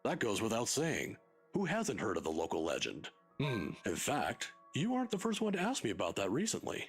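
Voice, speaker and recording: Husky, lively old professor. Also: male voice, on the low side, moderate pace, soft background music, heavily acted. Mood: enthusiastic storytelling. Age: older. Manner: scholarly, pedantic, given to chuckling. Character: kindly, patient old male professor of folklore. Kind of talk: video game voice acting.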